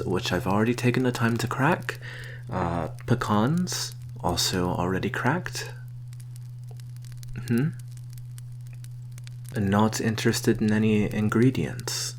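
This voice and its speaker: A man playing a sly vampire posing as a traveling merchant, soft-spoken and coaxing in an ASMR roleplay. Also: fairly low pitch, slight background noise. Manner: soft-spoken, coaxing, deliberate with sighs and soft chuckles.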